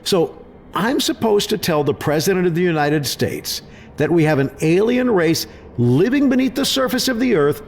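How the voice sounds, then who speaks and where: A man's voice: sits high, darkish tone, a little hiss or hum. A man playing an incredulous, sarcastic government official who scoffs emphatically in a sci-fi audio drama. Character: exasperated, sceptical male government official, quick to scoff.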